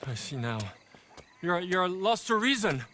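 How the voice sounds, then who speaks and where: Histrionic idealist official, game drama, higher male voice, formal, wounded, theatrical, slight background noise. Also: very animated, measured pace, sharp tone, full character voice. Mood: indignant, wounded. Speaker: game voice actor. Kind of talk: dramatic video game acting.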